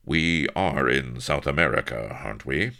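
A man voicing a tall, priggish English gentleman hero in a story, with a cool, prim, unflappable manner. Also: very deep voice, normal speed, heavily acted. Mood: alert, puzzled. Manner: cool, prim, stiff-upper-lip delivery.

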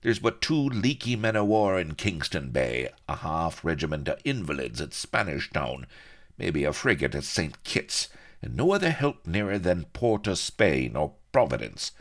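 A man voicing a grim, shrewd pirate captain with rough rustic sea-dog speech in an audiobook. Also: low-pitched, big pitch swings, moderate pace, voice pushed up. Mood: thoughtful, cynical.

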